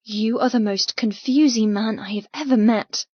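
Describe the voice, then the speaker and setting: A woman acting audiobook dialogue as a defiant, hurt, sharp-tongued young woman, confrontational and emotional. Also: fairly high pitch, normal speed, slightly rough, dry recording, lo-fi sound. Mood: hurt, challenging.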